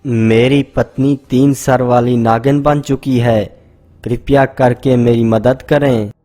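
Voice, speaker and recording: A man's voice: medium pitch, steady tone, takes it slowly, warm tone, faint music behind, close to an everyday voice. A man voicing an exasperated, agitated young husband. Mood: desperate. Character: young husband, puzzled and determined.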